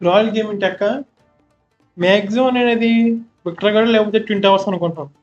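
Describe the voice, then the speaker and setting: Very fast Telugu-accented male streamer. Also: high voice, fairly even intonation, muffled recording. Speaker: game streamer. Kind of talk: casual live gameplay commentary. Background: game music.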